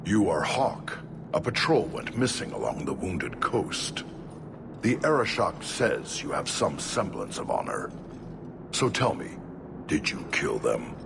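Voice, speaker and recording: An actor playing a stern, proud Qunari warrior in a game, speaking in terse, formal, menacing lines. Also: male voice, deep voice, sing-song, measured pace, vocal fry, heavily acted. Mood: cold, demanding. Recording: game dialogue over noisy ambience.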